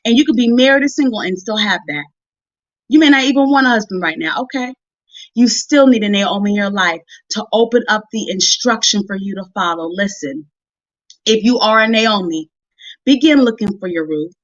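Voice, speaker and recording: A woman's voice: medium pitch, normal speed, dry recording, muffled recording. A woman giving a motivational sermon on a live stream, talking directly and conversationally to women in a folksy, emphatic way and repeating phrases for effect. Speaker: guest preacher and motivational Bible teacher.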